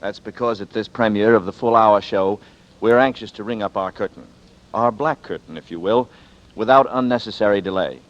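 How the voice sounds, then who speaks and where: Man, radio host, calm conversational intro, lowish voice, lo-fi vintage broadcast. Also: moderate pace. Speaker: host and star. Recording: dull vintage radio recording.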